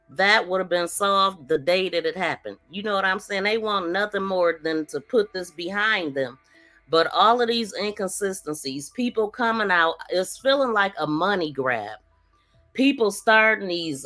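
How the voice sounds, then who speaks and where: A woman with a bright, forward voice hosts a live commentary show. She talks casually and straight to her audience, with faint music underneath. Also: medium pitch. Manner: informal, conversational, addresses viewers directly. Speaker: livestream podcast host.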